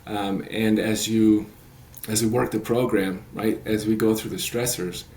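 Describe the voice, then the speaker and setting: A man's voice: fairly low pitch, fairly even intonation, a little slow, a little mellow. A man in his late thirties, a coach talking as a podcast guest, earnest and rambling with many fillers and restarts. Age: 30s. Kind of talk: podcast interview.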